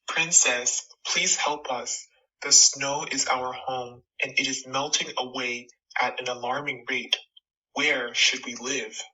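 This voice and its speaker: Woman putting on a slow, deep, earnest ice bear. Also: steady tone, pushed and bright, distant mic, lo-fi sound, heavily acted. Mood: worried pleading. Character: sad, gentle, grateful ice bear.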